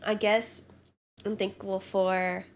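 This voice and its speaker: A woman's voice: medium pitch, little pitch movement, slow pace, slight background noise. A young woman co-hosting a casual podcast chat, sniffly from allergies, blunt and swearing freely. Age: young adult. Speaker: podcast co-host.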